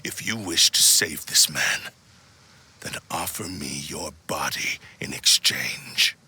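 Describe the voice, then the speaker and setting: A man's voice: extremely low pitch, slow pace, pushed and bright, slightly rough, exaggerated voice. A man voicing a proud, bitter spirit of a cursed sword in a video game, speaking in a theatrical, haughty, menacing drawl. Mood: cold, menacing. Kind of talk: dramatic video game dialogue.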